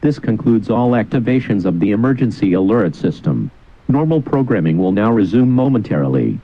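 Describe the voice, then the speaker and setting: A dull, low-fidelity broadcast carries a man's fairly low voice. He reads a scripted emergency alert as an official announcer, formal and measured, with authoritative wording. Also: expressive, slightly rough.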